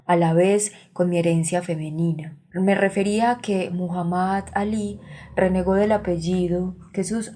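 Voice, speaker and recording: A woman reading a literary essay aloud in a scripted, measured way, with careful phrasing. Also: lowish voice, dark tone. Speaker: reader of literary essays for a book channel.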